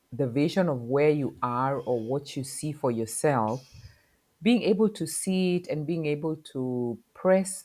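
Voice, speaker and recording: A middle-aged woman from Kenya, speaking as a podcast guest in a reflective, halting way full of fillers. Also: low voice, mellow. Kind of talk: casual interview talk.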